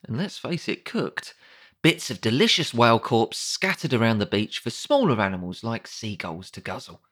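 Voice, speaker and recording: A man's voice: medium pitch, fairly bright tone. A British man narrating a comedic audiobook in a wry, sardonic, conversational way.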